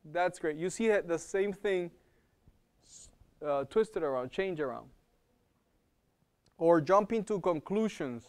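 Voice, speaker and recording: Male lecturer with an accent, slightly high and smooth, teaching a live class in a casual, searching way. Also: expressive, slow pace, long pauses, a little mellow. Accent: non-native English. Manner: conversational, checks in with "okay?" and repeats words while thinking. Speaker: health program lecturer.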